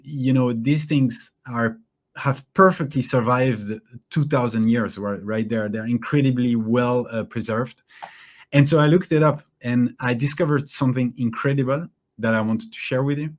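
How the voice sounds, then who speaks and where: A man hosting an online course, closing with a casual, halting talk full of fillers and restarts. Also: medium pitch. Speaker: course host.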